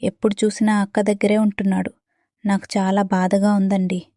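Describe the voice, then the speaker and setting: Young cartoon wife, devoted and earnest: female actor, soft-edged mellow tone, little pitch movement, dry sound. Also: little pitch movement, moderate pace, no echo, lightly acted. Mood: hurt, resentful. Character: devoted, easily hurt young village second wife. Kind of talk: children's moral cartoon voice acting.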